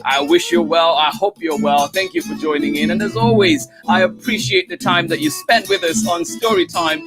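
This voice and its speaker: A man with a notably high voice hosts a live storytime stream. He greets viewers one by one and thanks them again and again in a gushing way. Faint music plays under his voice. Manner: warm effusive greetings, repeats thanks. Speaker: storytime host.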